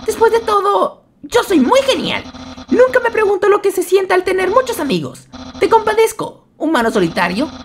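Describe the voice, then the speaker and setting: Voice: extremely high pitch, big pitch swings, normal speed, a little hiss or hum. A woman voicing a boastful, childish skeleton in a video game fan dub, pompous and theatrical. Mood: pompous, proud. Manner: pompous, exclamatory, dramatic. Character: boastful, childish skeleton wanting to join the guard.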